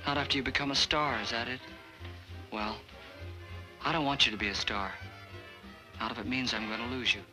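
An actor playing a breezy late-night radio disc jockey with smooth showman patter, in a 1940s film. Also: mid-range voice, steady tone, a little fast, pauses often, close to an everyday voice, rough recording. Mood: pleading. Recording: old film soundtrack with music behind.

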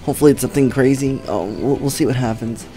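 A man's voice: medium pitch, fast, mellow. A young man in his twenties talks loosely into a desk mic in a small room at home, rambling and swearing as he goes. His voice sounds a bit worn, and there is faint music behind him. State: voice weakened from treatment. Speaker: streamer. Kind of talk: casual vlog talk to camera. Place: home streaming room, indoors.

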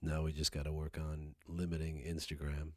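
A man hosting a podcast, chatting casually with relaxed, measured replies. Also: very deep voice, no echo, studio quality. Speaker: podcast host, musician. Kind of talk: casual conversation.

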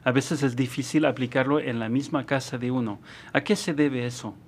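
A man in his forties hosts an interview on a studio microphone. He reads a prepared introduction and asks his questions at a steady, even pace. He answers his guest with short, encouraging sounds. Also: medium pitch, moderate pace. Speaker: interview host. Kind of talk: scripted intro then interviewing. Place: small studio with dark curtain. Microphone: studio mic on boom arm.

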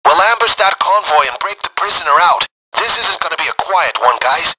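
An actor playing a brisk, commanding man who plans heists, giving clipped mission orders over the radio in a video game. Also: on the high side, expressive, sharp tone, husky, heavily acted, low-quality recording. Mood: briefing, measured. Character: brisk, commanding male heist planner directing a gang.

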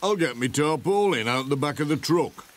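A man with a Northern English accent playing an easygoing, obliging middle-aged village handyman in a children's cartoon, unhurried and folksy. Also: fairly high pitch, animated, close to an everyday voice.

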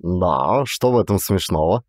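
A man's voice: low voice, lively intonation, unhurried, no room sound, clean studio sound, pitched below the performer's own voice. Man playing a wry, understated male lover. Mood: earnest. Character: male first-person hero, wry, calm, affectionate lover. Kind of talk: audiobook character dialogue.

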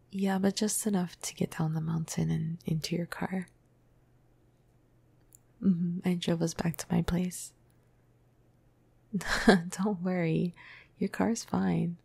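A woman playing a flirty, caring vampire crush in an intimate ASMR roleplay, speaking softly and breathily into a close microphone with casual hesitations. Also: no room sound, heavily acted. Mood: gentle, intimate, then light, reminiscing. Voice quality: breathy, soft, husky, low. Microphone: close microphone, dry.

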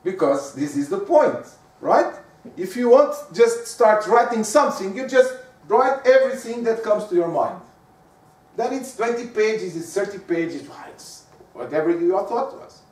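High-voiced Portuguese man lecturing in an echoey room. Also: measured pace. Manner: lively, talks to the audience with frequent rhetorical questions. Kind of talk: lecture on giving presentations. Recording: echoey room, speaker far from the mic.

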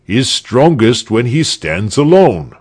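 Man playing a stoic hero, solemn sayings, firm slightly raised voice, husky, slow. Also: mid-range voice, sing-song, a little mellow, pitched above the performer's own voice, heavily acted. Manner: solemn, aphoristic. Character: calm stoic heroic man of few words.

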